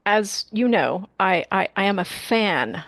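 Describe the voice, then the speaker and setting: A woman conducts a casual interview in a mid-pitched voice. She is eager and interjects readily, doubling up words for emphasis. The sound is dry, with hardly any room to it. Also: animated, takes it slowly, a bit bright, dry recording.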